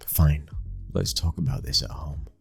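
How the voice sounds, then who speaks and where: Very deep, husky British mafia husband acted by a man. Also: flat delivery, unhurried, soft background music, full character voice. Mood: stern, exasperated. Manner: hushed intimate delivery slipping into whispers. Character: brooding young mafia boss's son, protective devoted husband. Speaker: ASMR roleplay performer. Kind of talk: intimate boyfriend roleplay ASMR acting.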